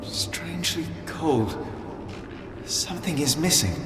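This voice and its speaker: An actor playing a shrewd, driven private detective in a video game, speaking in a formal, precise and coolly commanding way. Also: male voice, on the high side, animated, takes it slowly. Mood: uneasy, awed. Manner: formal, precise, deductive delivery. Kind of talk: dramatic video game voice acting. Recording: music bed under the voice.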